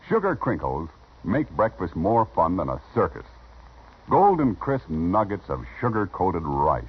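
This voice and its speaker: Vintage radio pitchman: American male, low voice, highly animated folksy sell, muffled recording. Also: breathy edge. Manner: emphatic, folksy salesman. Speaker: commercial announcer. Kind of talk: 1950s radio advertising pitch. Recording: dull old radio recording.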